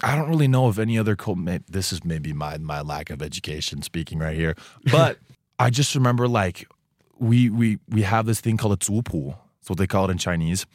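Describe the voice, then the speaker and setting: A young man in his early twenties from Taiwan talks easily as a guest in a radio studio. His voice sits on the low side and sounds dry and close. He rambles in a friendly way, with many "um"s and restarts. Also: brisk, no room sound. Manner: relaxed, chatty, many fillers and restarts. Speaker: student guest. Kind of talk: casual interview chat.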